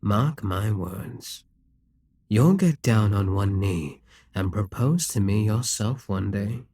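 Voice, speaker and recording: A man's voice: fairly low pitch, mellow, slightly rough, dry recording, clean studio sound, full character voice. A man voicing a possessive, scheming male demon king in an audio roleplay, teasing and condescending. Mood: smug.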